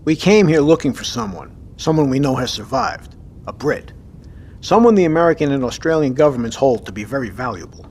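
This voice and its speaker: An actor playing a decisive, gruff navy submarine captain in an audio drama, with commanding, clipped, profane delivery. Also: male voice, medium pitch, animated, a little fast, a little mellow, slight background noise, lightly acted. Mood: brisk, probing. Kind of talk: scripted audio drama acting.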